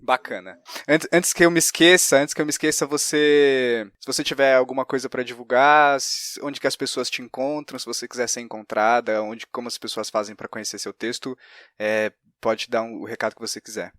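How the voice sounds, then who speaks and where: A man with a Brazilian Portuguese accent hosts a casual interview. His voice has an average male pitch, and the sound is dry, with almost no room in it. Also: steady tone, brisk, a bit bright, dry recording. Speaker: podcast host.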